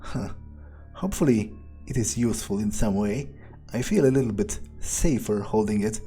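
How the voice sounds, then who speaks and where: A man's voice: lowish voice, moderate pace, warm tone, soft background music. A man roleplaying his way through a video game, thinking aloud in character with a musing, sardonic, sometimes profane manner.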